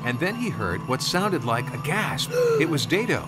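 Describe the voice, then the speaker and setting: Casting: male storyteller, mid pitch with lively swings, dramatic yet conversational, music bed. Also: moderate pace, rough recording. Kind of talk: scripted story narration. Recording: music under the voice.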